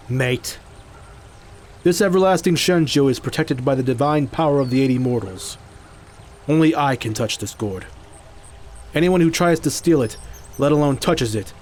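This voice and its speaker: A man's voice: medium pitch, normal speed, pauses often, lightly acted. A man playing a confident, stern fighter who guards a sacred treasure in an audio drama, firm and teasing. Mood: firm, taunting. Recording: faint background noise.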